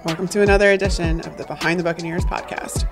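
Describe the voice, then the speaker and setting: A woman's voice: on the low side, fairly even intonation, fast talker. A woman hosting a sports podcast, reading a scripted introduction with an upbeat, polished delivery. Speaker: podcast host. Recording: voice-over with music underneath.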